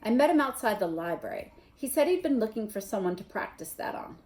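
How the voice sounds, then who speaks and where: A woman's voice: mid-range voice, big pitch swings, fairly quick, darkish tone, close to an everyday voice. A woman reading a storybook aloud as a timid, dejected young schoolboy with a shaky, mumbling manner.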